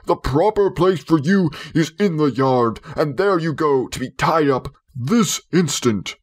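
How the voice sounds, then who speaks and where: Man acting a blustering, self-pitying father, pitched up. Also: expressive, a little slow. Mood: reckless, indignant. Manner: theatrical, indignant, wounded pride.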